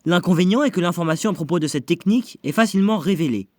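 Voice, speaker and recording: A man's voice: high voice, lively intonation, quick pace. A man playing a cocky, euphoric young sorcerer in an anime fan dub, with a theatrical, self-satisfied delivery. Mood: calm, explanatory.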